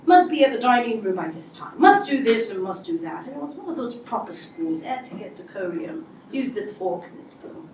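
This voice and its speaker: A woman giving a motivational speech to an audience, telling her story in a lively way and acting out what people said. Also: a little high, a little fast, hardly any pauses, recorded from a distance, a little hiss or hum. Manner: animated storytelling with acted-out quotes. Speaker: speaker. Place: large hall before an audience. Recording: echoey hall, voice from afar.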